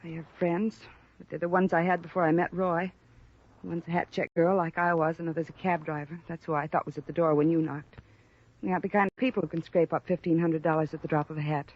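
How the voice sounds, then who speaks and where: A woman's voice: low-pitched, little pitch movement, fast talker. A woman acting a proud, cornered young former chorus girl in a 1950s radio drama, earnest and plaintive with an American accent. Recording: dull restored old radio recording.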